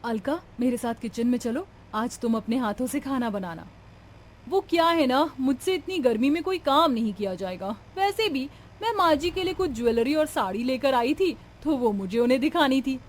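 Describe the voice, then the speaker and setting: A young daughter-in-law in a family cartoon, voiced by a woman, high in pitch. She is sharp-tongued and indignant, scolding her way through domestic feuds with plenty of melodrama. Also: animated, normal speed, a little hiss or hum. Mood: insistent. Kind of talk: cartoon family drama dialogue.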